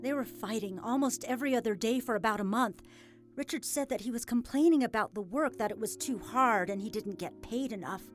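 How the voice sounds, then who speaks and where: Actress as a high-voiced, halting grieving widow. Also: female voice, faint music behind, close to an everyday voice. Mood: hesitant, troubled. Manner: halting, emotional. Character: grieving, hesitant farm widow. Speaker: voice actor. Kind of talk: audio drama acting.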